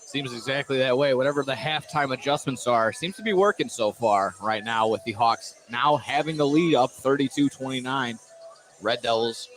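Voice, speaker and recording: A man's voice: medium pitch, moderate pace, fairly bright tone. A man doing live play-by-play basketball commentary for radio, sometimes stumbling and correcting himself mid-call. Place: indoor basketball gym. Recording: noisy live gym broadcast. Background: clear background noise, bouncing basketball.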